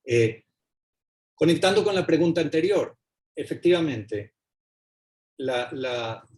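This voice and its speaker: A man's voice: fairly high pitch, lively intonation, takes it slowly, darkish tone, slightly rough. A man speaking fluently on a video call, explaining ideas at a book presentation in an academic way. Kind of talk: academic panel talk.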